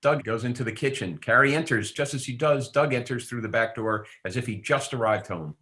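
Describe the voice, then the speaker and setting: A man's voice: mid-range voice, a little fast, bright, forceful tone. A man reading script stage directions aloud at a table read.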